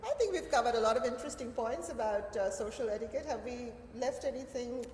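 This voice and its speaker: A woman's voice: on the high side, lively intonation, fairly quick, darkish tone. A woman hosting a casual conversational interview in an agreeable, encouraging way. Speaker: interview host. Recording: echoey reverberant room with background noise.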